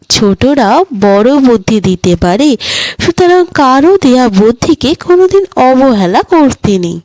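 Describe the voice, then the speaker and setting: A woman with a fairly high voice reads children's stories as a storyteller. Her delivery is very lively in pitch and unhurried, with each phrase set out expressively. The sound is dry and close. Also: no room sound, rough recording. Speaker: storyteller and channel host. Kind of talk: expressive scripted narration of children's moral stories.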